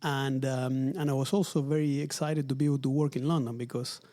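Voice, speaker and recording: A man in his forties from Argentina, speaking English with an Argentine Spanish accent, answering interview questions in a measured, thoughtful way with frequent fillers. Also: mid-range voice, steady tone, mellow. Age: 40s. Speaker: retail executive guest. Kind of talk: interview conversation. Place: office at company headquarters.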